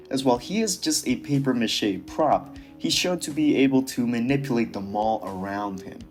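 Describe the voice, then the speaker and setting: A man narrating a casual scripted commentary in a sardonic, dismissive, casually profane way. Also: medium pitch. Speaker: video creator narrating a commentary.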